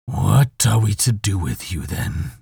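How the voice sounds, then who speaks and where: A man puts on a male demon lord for a fantasy audiobook. The voice is husky and crackling, with long, deliberate words. It is menacing and mocking throughout. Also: deep voice, big pitch swings, dark tone, dry recording, clean studio sound, heavily acted. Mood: brooding menace. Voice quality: raspy. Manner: slow, deliberate, ominous. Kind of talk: audiobook character voice.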